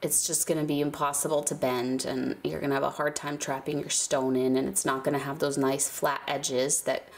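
A woman's voice: extremely low pitch, little pitch movement, moderate pace, creaky, few pauses, a little hiss or hum. A woman with a stuffy nose from a cold, chatting casually as she walks through a hands-on craft tutorial, with fillers and small laughs. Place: indoors at a craft table, birds outside.

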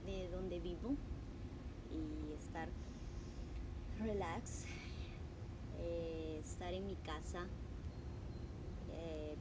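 A Salvadoran woman in her thirties talks casually to livestream viewers in a slightly low voice. She takes her time, pausing hesitantly and slipping in the odd English word. She is recorded outdoors on a patio with clearly audible ambient noise. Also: fairly even intonation. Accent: Salvadoran Spanish. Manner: hesitant, informal, mixing in some English words. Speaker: art class host. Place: outdoor patio among trees. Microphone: device mic at about arm's length. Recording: noisy outdoor ambience with traffic hum.

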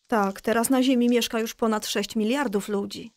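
An actress playing a patient mother explaining Bible stories in a measured way in a children's audio drama. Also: fairly high pitch, steady tone, dry recording, close to an everyday voice. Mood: patient, instructive.

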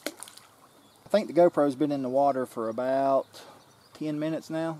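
A man in his forties casually explaining his creek fishing for his outdoor vlog, talking straight to a nearby camera outdoors. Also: mid-range voice. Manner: relaxed, explains as he fishes. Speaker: outdoor vlogger. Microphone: camera-mounted mic, close framing. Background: faint outdoor nature ambience.

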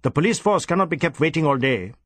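A man voicing a brisk, irritable Indian police inspector in an audiobook. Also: fairly high pitch, animated, no room sound. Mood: snapping. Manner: curt, snapping.

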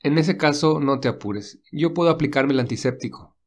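A man voicing a caring young male lead in a read-aloud romance, speaking softly with light teasing. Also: a little high, normal speed, lightly acted. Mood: gentle.

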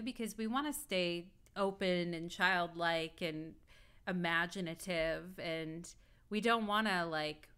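Brief: woman in her 30s, astrologer on webcam, lower pitch, crisp and clear, rambling casual tone. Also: pushed and bright. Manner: rambling and reflective, full of "like" and "you know". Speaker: astrologer and tarot reader. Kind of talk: casual video talk. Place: indoor room at home.

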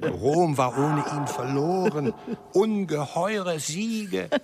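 An actor playing an admiring Roman citizen in radio play street dialogue, assertive and proud. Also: male voice, on the high side, slow pace, heavy background noise. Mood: proud admiration. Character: admiring Roman male citizen praising a general.

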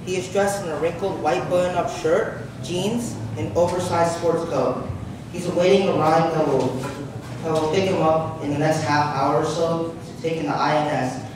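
A man's voice: fairly high pitch, steady tone, moderate pace. A young man reading stage directions aloud as the narrator of a staged play. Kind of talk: scripted narration, read aloud. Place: large public hall, on stage. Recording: echoey hall, distant microphone, clear noise.

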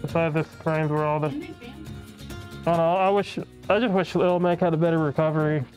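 A thirty-year-old man with a notably high voice talks through a game match in an easygoing, self-mocking way. He sounds casual and friendly, with faint music playing behind him. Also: fairly even intonation, fairly quick, a little mellow, poor audio. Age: 30s. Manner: easygoing, chatty, self-deprecating. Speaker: esports caster. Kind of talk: casual game commentary chat.

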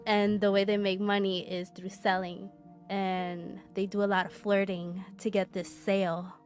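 A woman's voice: mid-range voice, flat delivery, a little slow, faint music behind. A young woman speaking as a podcast guest into a studio microphone, casually explaining a point with examples. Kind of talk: podcast conversation.

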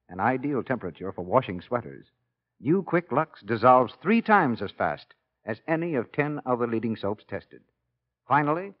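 A man working as a radio announcer, giving a polished 1940s advertising pitch. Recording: dull 1940s radio broadcast.

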